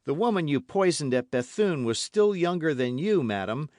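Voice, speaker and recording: A man's voice: fairly high pitch, lively intonation, normal speed, a little mellow, no room sound, studio quality, pitched above the performer's own voice, natural voice. A man voicing a young man who speaks earnestly, with pain in his voice. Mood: anguished. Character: youngest of the men, torn and sorrowful.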